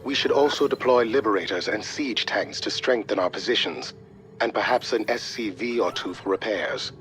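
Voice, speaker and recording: A man's voice: lowish voice, normal speed, a bit bright, natural voice, low-quality recording. A man playing a helpful, methodical military adviser in a video game, speaking precisely and in a businesslike way.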